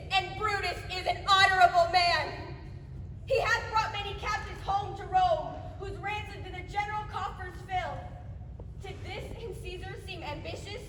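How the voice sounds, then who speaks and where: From far off in a reverberant hall comes a very high-pitched Roman nobleman acted by a woman. The delivery is declamatory and measured stage tragedy, full of grief and vengeance, with clear noise over the recording. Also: pushed and bright. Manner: declamatory, measured, with dramatic emphasis. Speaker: student stage actor. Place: theatre stage in a large hall, far camera. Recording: echoey hall from far off, noisy.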